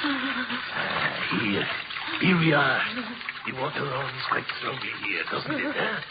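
Deranged French sewer killer for vintage radio horror. Slow, gloating delivery with huge pitch swings; very noisy old recording. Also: male voice, huge pitch swings, lo-fi sound, heavily acted. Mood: smug, gleeful. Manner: theatrical, gloating, cackling, punctuated with French endearments.

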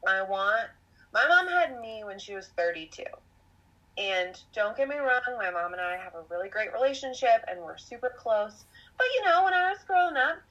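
A woman's voice: fairly high pitch, big pitch swings, moderate pace, bright, forceful tone, dull audio. A woman of twenty-eight chatting on a podcast, rambling and self-reflective, laughing now and then. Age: 20s. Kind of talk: casual conversational storytelling.

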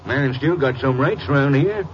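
An actor playing a grumbling, stubborn aging farmer with a rural Southern American accent in an old radio drama. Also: male voice, medium pitch, moderate pace, natural voice. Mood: indignant grumbling. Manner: cantankerous, folksy. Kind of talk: 1940s radio drama acting. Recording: noisy, muffled old radio recording.

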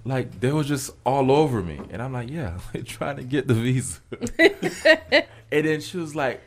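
A man's voice: medium pitch, very animated, a little slow, fairly bright tone, slight background noise. A young man in his twenties co-hosting a casual podcast, telling loose slangy stories. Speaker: podcast co-host. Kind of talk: casual chat.

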